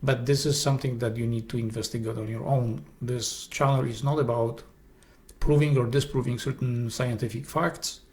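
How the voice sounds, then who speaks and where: A man with a Polish accent, Polish his first language, hosting an esoteric presentation in an earnest, halting way with frequent restarts. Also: medium pitch, creaky, a little mellow. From Poland. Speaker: host.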